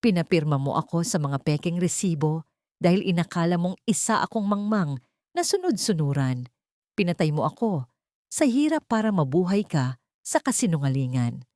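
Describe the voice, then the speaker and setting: A woman's voice: on the low side, sing-song, moderate pace, a little mellow, dry recording, clean studio sound. A woman voicing a dignified, wronged nanny who speaks firmly and accusingly in an audiobook drama. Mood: accusing, defiant. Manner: firm, accusing.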